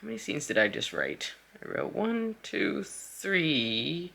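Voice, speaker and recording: A woman's voice: lowish voice, big pitch swings, slow pace, gravelly, fairly bright tone. A young adult woman, an aspiring author, chatting casually at home in a vlog, rambling and correcting herself as she thinks aloud. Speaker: aspiring author vlogging her writing.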